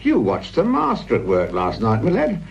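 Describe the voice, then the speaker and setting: An actor playing a grumbling, sardonic, corner-cutting British police sergeant in a radio drama, full of world-weary asides. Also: male voice, medium pitch, wildly swooping pitch, moderate pace, creaky, lo-fi sound, a little hiss or hum. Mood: wry, brisk.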